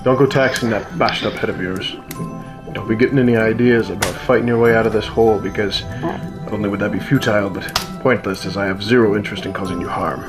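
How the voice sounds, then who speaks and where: A man playing a stocky, gruff but kindly hermit in a fantasy radio drama, teasing and rambling as he talks. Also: fairly low pitch, steady tone, fast talker, close to an everyday voice. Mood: gruff, dismissive. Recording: loud music behind the voice.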